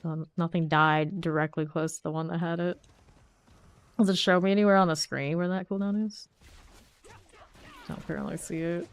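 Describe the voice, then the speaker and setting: A congested woman with a lowish voice, chatting to herself as she streams a game. She thinks aloud in a loose, self-questioning way and keeps a sniffly edge from a cold throughout. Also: steady tone, moderate pace, long pauses, clean tone. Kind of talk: casual gameplay commentary.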